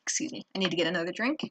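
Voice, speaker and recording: A tired woman talks through her digital painting in a low, easygoing voice. She rambles, trails off and fills pauses with ums while she works. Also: sharp tone, rough recording. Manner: rambling, self-deprecating, many fillers. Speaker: art tutorial host. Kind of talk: casual drawing tutorial narration.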